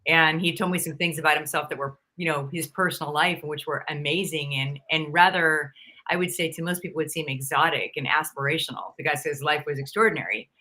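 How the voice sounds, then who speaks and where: A woman who is a charisma coach, with a bright, sharp tone and a voice on the low side for a woman. She tells stories in a lively way, acting out other people's lines, and laughs freely. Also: fairly quick. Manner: lively storyteller, laughs often; acts out quoted people's lines. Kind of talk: live-stream conversation.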